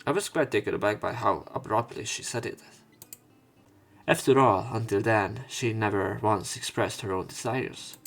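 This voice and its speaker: A man's voice: fairly low pitch, normal speed, vocal fry, a bit bright, soft background music. A man narrating a visual novel as a let's play, reading the story text aloud.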